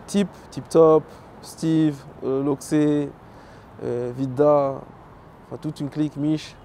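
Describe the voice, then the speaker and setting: A man's voice: fairly high pitch, takes it slowly, a little hiss or hum. A middle-aged man from Belgium with a Congolese family, a professional dancer, telling his own story casually in an interview, with lots of fillers. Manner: relaxed, many fillers, lists names. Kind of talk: casual interview, personal history.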